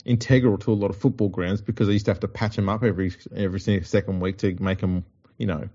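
A man's voice: fairly low pitch, fairly even intonation, fast, dark tone, muffled recording. A man with an Australian accent hosting a casual sports podcast, teasing his co-host and reeling off stats. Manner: joking, teasing, reels off stats.

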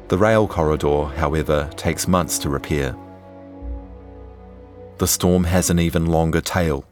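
A man narrates a scripted documentary. His delivery is measured and serious, like long-form journalism read aloud. Soft music sits faintly behind him. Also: a bass voice.

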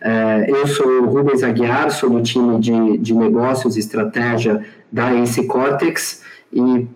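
A Brazilian man hosting a podcast, introducing his guests in a halting way with many fillers. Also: mid-range voice, fairly even intonation, darkish tone, poor audio. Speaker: podcast host. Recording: faint music behind.

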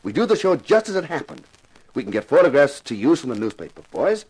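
An actor playing a wisecracking, persuasive TV detective star turned amateur sleuth in a 1950s radio detective drama. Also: male voice, medium pitch, big pitch swings, fast talker, a little mellow, slightly rough, low-quality recording. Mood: excited, persuasive. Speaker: lead actor and narrator. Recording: vintage 1950s radio recording.

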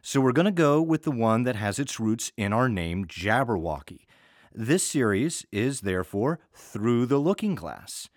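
American guy hosting a podcast intro, wry and chatty, lively pitch, dry close recording. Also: unhurried, no room sound. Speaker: series host.

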